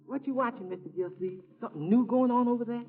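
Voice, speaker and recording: An actress playing a chatty, unflappable housekeeper with a folksy, rambling manner in 1940s radio comedy. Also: female voice, on the high side, fast talker. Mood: matter-of-fact. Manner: rambling, folksy, matter-of-fact. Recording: tinny, narrow 1940s radio recording.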